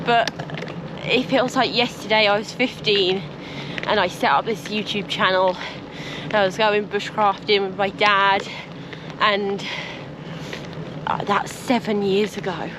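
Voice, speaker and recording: A woman in her twenties, her voice husky from dust, talking earnestly and reflectively to her camera outdoors during a long bike trip. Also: mid-range voice, fairly even intonation, measured pace, pauses often, noisy background. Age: 20s. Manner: earnest, reflective, with soft laughs. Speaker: vlogger.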